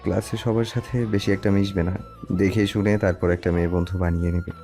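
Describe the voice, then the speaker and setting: A man playing a protective husband in an audio story, speaking softly with gentle authority as he instructs his wife. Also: low voice, fairly even intonation, a little fast, soft background music, some chatter behind. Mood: calm, instructing. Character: soft-spoken, protective, older husband instructing young wife. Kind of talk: Bengali audio story acting.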